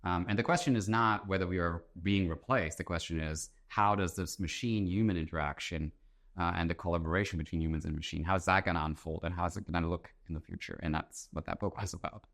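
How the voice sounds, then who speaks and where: A man talks as a guest in an interview. His voice is low and deep, and he lays out his ideas in a thoughtful, conversational way. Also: steady tone, fast, fairly bright tone. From Germany. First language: German. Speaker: interview guest, author and entrepreneur. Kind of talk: conversational explanation.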